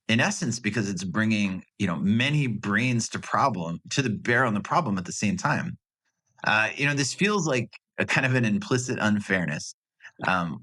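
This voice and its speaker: Low, bright-voiced man presenting research. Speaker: graduate student presenter. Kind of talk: recorded class project presentation.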